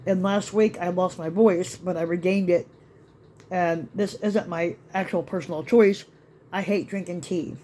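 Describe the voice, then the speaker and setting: A man ranting in a rambling vlog to his channel, with many restarts and fillers, his voice still slightly raspy after being sick. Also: high voice, fairly even intonation, measured pace, mellow, slight background noise. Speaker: YouTube vlogger. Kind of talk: rambling rant to camera.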